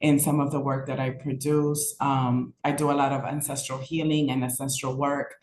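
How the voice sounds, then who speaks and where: A woman poet introducing herself on an artist panel over a video call, speaking in a measured way with frequent ums. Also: extremely low pitch, level tone, a little mellow. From New York. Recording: roomy call audio with faint noise.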